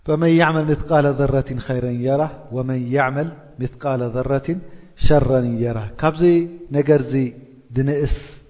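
A man's voice: medium pitch, normal speed, a little mellow. A man giving a religious lesson, intoning verses and explaining them, often checking in with rhetorical questions to his listeners. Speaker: Islamic preacher explaining a Quran chapter. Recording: dull lo-fi recording with long reverb.

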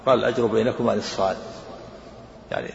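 A man teaching as a scholar, explaining texts in a rapid lecture and repeating his points. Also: on the low side, steady tone, vocal fry, a little hiss or hum. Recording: echoey hall, dull lo-fi sound.

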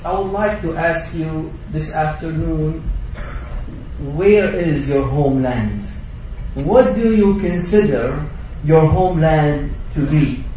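High-voiced preacher in an echoey hall. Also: male voice, mellow, a little hiss or hum. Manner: preaching with rising emphasis, Arabic recitation between English. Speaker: imam giving a Friday sermon. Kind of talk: sermon. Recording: distant echoey mosque recording, dull.

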